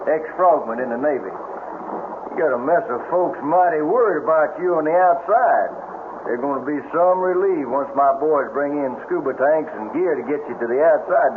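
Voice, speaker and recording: Radio drama role: plainspoken rural ex-frogman male actor, colloquial, slightly high-pitched, thin vintage broadcast sound. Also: lightly acted. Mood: reassuring, brisk. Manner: folksy, colloquial country speech. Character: plainspoken rural ex-Navy frogman, dutiful son, unflappable. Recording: tinny, noisy old radio broadcast.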